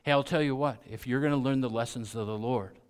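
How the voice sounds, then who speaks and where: A man with an American accent preaching a sermon to a congregation, repeating key phrases for emphasis and moving between quiet and loud delivery. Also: mid-range voice, brisk, clean tone.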